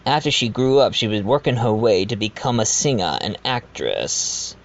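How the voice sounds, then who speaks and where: A man's voice: on the low side, big pitch swings, moderate pace. A man playing a genial widowed doctor who hosts and narrates a murder-mystery audio drama in a chatty, slightly stumbling way, on a dull home recording. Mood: confiding. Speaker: narrator and host of the dinner party. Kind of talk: fan audio drama acting with narration asides.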